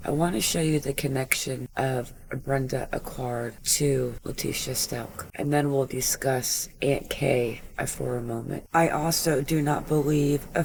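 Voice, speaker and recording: Gravelly-voiced true crime host, chatty. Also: mid-range voice, darkish tone, slight background noise. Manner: chatty, filler-heavy, opinionated. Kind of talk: casual true crime commentary.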